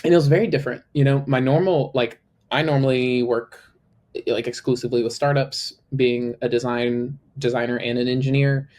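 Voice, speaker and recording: A man's voice: steady tone, brisk, a little mellow. Male co-host, a little nasal, loose filler-heavy casual chat. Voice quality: slightly high, a little nasal. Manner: chatty, filler-heavy, lots of "like".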